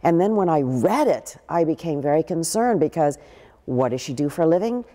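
An older actress telling stories in a seated interview, with a deep, big voice and lively gestures. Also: female voice, moderate pace, long reverb tail. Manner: expressive, gestures a lot while talking. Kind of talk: animated interview storytelling. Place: living room with a pool table, indoors.

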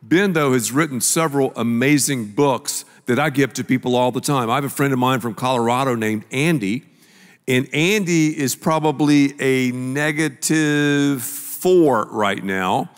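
A man's voice: medium pitch, measured pace. An older man, a pastor, telling a story in a stage sermon dialogue on a large church stage with a handheld mic. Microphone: handheld microphone. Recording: long hall reverb.

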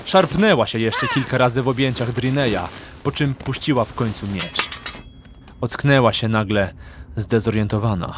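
A man's voice: mid-range voice, normal speed, noisy background. A man narrating a fantasy audiobook in a dramatic, scripted storytelling style.

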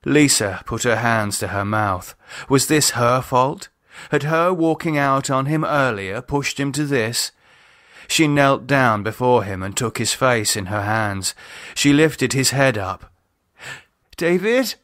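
A man narrating a novel in a measured, deliberate audiobook style. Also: medium pitch, fairly bright tone, no room sound, clean studio sound. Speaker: author reading own novel. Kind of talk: scripted audiobook narration, dramatised.

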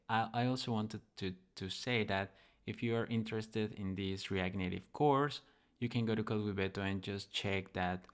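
Guy with a lowish voice walking thru a coding tutorial, informal, kinda thinking out loud. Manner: informal, thinking aloud as he demonstrates. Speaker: programming tutorial host. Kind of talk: casual screen-recording software tutorial.

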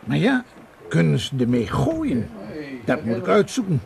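An actor playing a chatty, insistent island policeman in a radio drama, with a gravelly colloquial voice. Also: on the high side, huge pitch swings, a little slow, a crowd in the background, full character voice. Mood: pondering. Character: chatty island policeman, male, protective of locals, hospitable.

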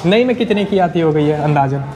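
A man's voice: a little high, expressive, a little fast, dark tone. A young man hosting a casual vlog walkthrough in an indoor showroom, chatty and repeating the dealer's answers for his viewers, on a lapel mic. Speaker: vlogger host. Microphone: clip-on lapel mic.

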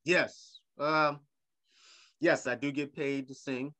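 A middle-aged man speaks from a room at home over a video call. His voice has a bright, ringing edge. He is animated and playful, repeating words as he goes. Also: fairly high pitch, slow. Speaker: guest. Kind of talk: casual video-call interview. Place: home room with leather couch.

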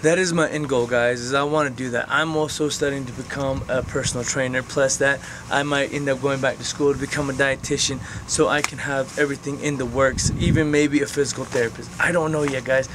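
A man's voice: mid-range voice, steady tone, a little fast, hardly any pauses, background noise. A man in his twenties talking casually to his camera outdoors, rambling and frank, swearing now and then. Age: 20s. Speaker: vlogger. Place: outdoors on open flat ground. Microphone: camera mic, close framing.

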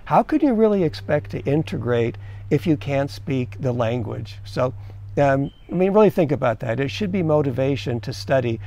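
A man's voice: mid-range voice, animated, normal speed, gravelly, mellow. A middle-aged man from the United States, an expat giving earnest, conversational advice to viewers and asking them questions. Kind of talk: casual advice to camera.

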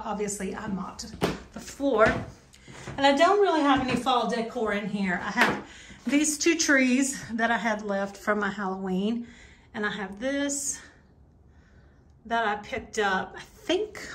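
A woman talking casually in a home vlog, rambling and correcting herself in a conversational way as she goes. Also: a little high. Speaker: home and cleaning vlogger. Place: rooms inside a house, bedroom and bathroom.

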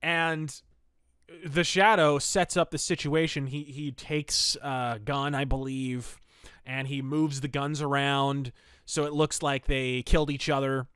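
A man with a mid-pitched voice presents a podcast about pulp fiction. He reads stories aloud and reviews them. The sound is dry, with very little room around the voice. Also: little pitch movement, a little slow, a bit bright, smooth, dry recording. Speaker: podcast host.

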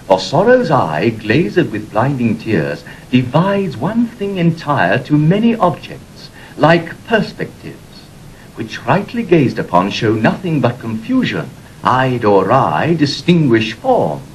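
An animated, earnest courtier. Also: male voice, mid-range voice, a little hiss or hum, poor audio. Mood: consoling. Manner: measured, declamatory. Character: courtly, earnest male attendant consoling his queen. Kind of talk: classical Shakespearean radio acting.

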